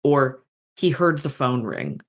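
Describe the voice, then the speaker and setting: Informal, thoughtful author in a mid-pitched voice. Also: big pitch swings. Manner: informal, halting and thoughtful, sprinkles in slang and swearing. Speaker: author, interview guest. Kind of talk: casual reflective interview talk about craft.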